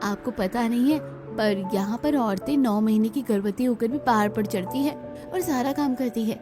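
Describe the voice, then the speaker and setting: A frank young village college girl from a cartoon family story, played by a woman. She has a very high voice, set over clear background music. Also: normal speed, close to an everyday voice. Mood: matter-of-fact.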